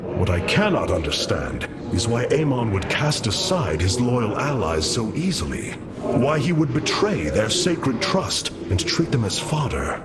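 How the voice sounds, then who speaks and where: A man's voice: medium pitch, big pitch swings, unhurried. A man playing a noble, resolute alien warrior leader in a game cutscene, with stately, earnest and formal speech. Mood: thoughtful. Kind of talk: dramatic game cutscene acting. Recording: loud music behind the voice.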